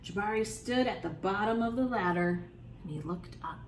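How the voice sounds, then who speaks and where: A woman leading a storytime for young children, reading aloud in an animated, encouraging way and putting questions to her listeners. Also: mid-range voice, normal speed. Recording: echoey room, clear background noise.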